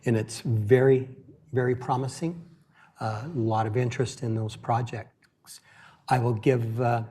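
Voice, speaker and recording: A man's voice: medium pitch, steady tone, measured pace, warm tone, slightly rough. A man chairing a city council meeting, speaking plainly with many "uh" fillers into a meeting room microphone. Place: council chamber.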